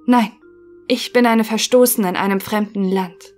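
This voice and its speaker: A woman playing a formal, melancholic thinking machine in an audio drama, answering in clipped, measured phrases. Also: medium pitch, steady tone, a little mellow, faint music behind, natural voice.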